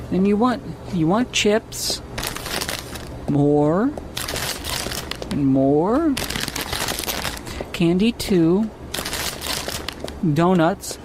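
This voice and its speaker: A woman's voice: on the low side, noisy background. A woman playing a dim, childlike robber in an improvised roleplay game, speaking in short, simple phrases. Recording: music behind the voice.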